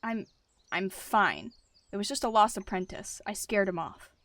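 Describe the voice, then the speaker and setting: A woman voicing an angry, scheming male warrior cat deputy, often in a hushed, urgent tone. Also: mid-range voice, animated, normal speed, no room sound, lightly acted. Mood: tense.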